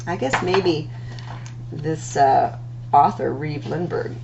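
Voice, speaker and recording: A woman reading rhyming picture books aloud as a storytime host, in an expressive, singsong delivery with drawn-out words. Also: deep voice, vocal fry, dull audio, background noise.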